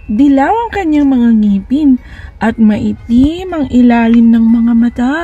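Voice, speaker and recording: A woman's voice: high-pitched, warm tone, husky, pitched above the performer's own voice, heavily acted. A woman voicing a sweet, polite little daughter with a childlike way of talking, in a storybook reading.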